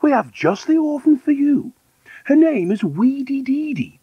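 A man voicing an eager, gushing orphanage matron in a children's storybook, calling out briskly. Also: very high-pitched, very animated, dry recording, muffled recording, pitched above the performer's own voice, exaggerated voice.

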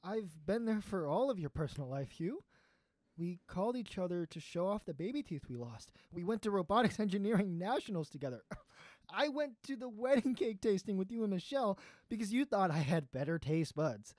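A performer playing a gentle, sentimental, pleading old friend in an audio drama, earnest and hesitant. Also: medium pitch, expressive, fairly quick, dry recording, natural voice. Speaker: voice actor in student audio drama. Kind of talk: naturalistic audio drama dialogue.

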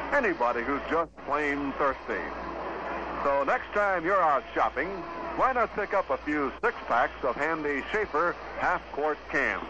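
A male announcer delivers a folksy 1950s radio sales pitch in a slightly high voice. The vintage recording is muffled, with clear noise throughout. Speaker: radio announcer reading sponsor spots. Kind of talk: 1950s radio commercial read. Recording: dull, noisy vintage radio broadcast.

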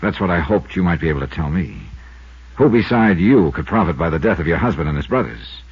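A man's voice: deep voice, lively intonation, brisk, breathy edge, heavily acted. A man playing a shrewd, unflappable investigator with an American accent in a 1950s radio detective drama. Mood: probing. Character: shrewd, unflappable male insurance investigator, probing and wry. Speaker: lead actor and narrator. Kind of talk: 1950s radio detective drama acting and first-person narration. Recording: dull, band-limited 1950s radio recording.

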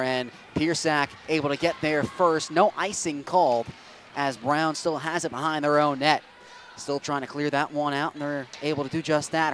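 A young man calling hockey play-by-play, giving a rapid, steady account that climbs into loud, excited goal calls. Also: a little high, a little hiss or hum. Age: young adult. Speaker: play-by-play announcer.

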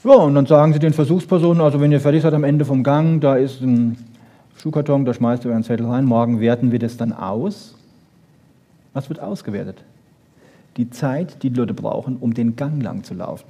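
Male lecturer, mid pitch, raspy edge, conversational explaining, rhetorical questions. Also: a little fast, mellow. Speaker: lecturer on brain research. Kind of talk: animated informal lecture to an audience.

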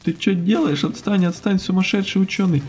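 A man giving casual, animated let's-play commentary on a video game, reacting and muttering to himself as host. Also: high voice, fairly quick, soft background music, rough recording. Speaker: gaming channel host.

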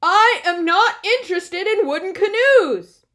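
A woman's voice: medium pitch, moderate pace, lightly acted. A woman voicing a pompous, grumpy, stubborn male bear from a children's storybook. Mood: indignant, shouting. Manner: pompous, haughty, loudly protesting.

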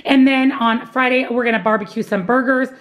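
A woman's voice: fairly high pitch, steady tone, brisk. A woman vlogger, married and working, cheerfully walking through her grocery haul and weekly meal plan, gushing and repeating words for emphasis. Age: adult, married, working. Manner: upbeat, gushing, repeats "love, love, love".

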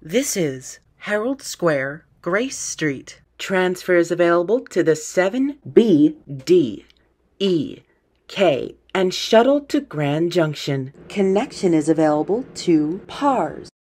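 A woman announces trains and stops in a formal, scripted style. Her voice is on the low side and slightly husky, with lively intonation and unhurried, segmented phrasing. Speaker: subway station announcer.

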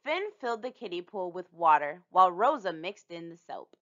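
Woman, children's storyteller, very varied intonation, expressive narration, slightly muffled sound. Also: fairly low pitch, normal speed. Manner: animated, storytelling delivery for young children. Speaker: read-aloud storyteller.

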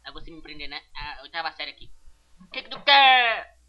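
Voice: mid-range voice, sing-song, fairly quick, lo-fi sound. An amateur dubber with a Brazilian accent doing a comic redub of a sitcom in brash, slangy, mocking street talk.

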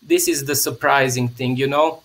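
A man's voice: mid-range voice, steady tone, normal speed, fairly bright tone. A man in his thirties from Serbia, talking in a casual, rambling, thoughtful way with frequent restarts, on a video call from a small room at home. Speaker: guest, theatre artist. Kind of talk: casual conversation. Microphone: video call webcam.

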